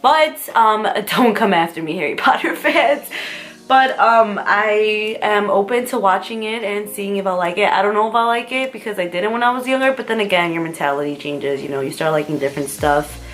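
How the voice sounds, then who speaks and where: A woman's voice: medium pitch, moderate pace, fairly bright tone, faint music behind. A young American woman chatting casually through a vlog tag video, rambling and giggling as she answers questions. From United States. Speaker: vlogger.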